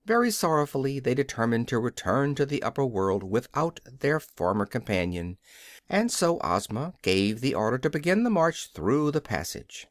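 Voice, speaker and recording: A man reading a children's storybook aloud as scripted narration, in an expressive storytelling manner. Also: mid-range voice, moderate pace, no echo, clean studio sound. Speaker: audiobook reader.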